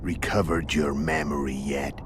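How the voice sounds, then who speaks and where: An actor playing a hulking, cynical video game assassin, calm and menacing, with a gravelly voice and sardonic manner. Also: male voice, noisy background. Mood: wry. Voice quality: very deep, gravelly. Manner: slow, sardonic.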